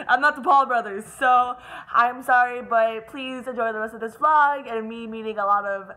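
A woman's voice: a little high, moderate pace, sharp tone, no room sound. A young woman vlogging to her phone at arm's length, bubbly, giggly and upbeat. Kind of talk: casual vlog to camera. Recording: noisy phone recording with crowd.

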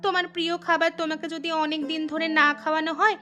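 A woman doing a pouty cartoon wife, high voice, complaining, clear music underneath. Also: level tone, rapid-fire, pushed and bright. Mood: sulky. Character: stubborn city wife, young woman.

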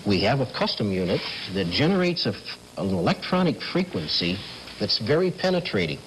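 A man's voice: fairly low pitch, very animated, a little fast, fairly bright tone. A middle-aged American man explaining as an interview guest in a television studio, on slightly noisy vintage TV sound. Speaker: telephone company specialist, guest. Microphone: lapel mic.

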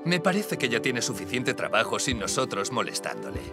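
An actor playing a courteous gentleman of the house in a cartoon dub. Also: medium pitch, wildly swooping pitch, brisk, music in the background. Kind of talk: animated series dub acting.

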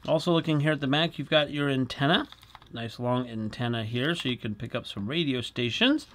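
A man's voice: mid-range voice, a little fast, a bit bright. A middle-aged man, chatty and explanatory, hosting a casual product demonstration in a small indoor studio. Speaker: product review host.

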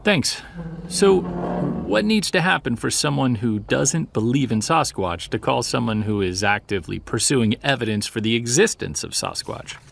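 A man acting a seasoned, driven investigator in an audio drama, earnest and measured, with a gravelly, husky voice. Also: medium pitch, fairly bright tone, a little hiss or hum. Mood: curious. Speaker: voice actor. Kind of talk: naturalistic audio drama dialogue.

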